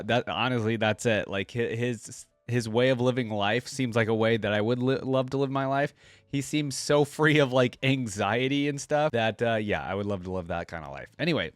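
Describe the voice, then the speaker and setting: Male reaction host, average pitch, informal rambling delivery, dry indoor sound. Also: no room sound. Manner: rambling, conversational, often adds "like" and "right?". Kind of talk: casual unscripted reaction commentary.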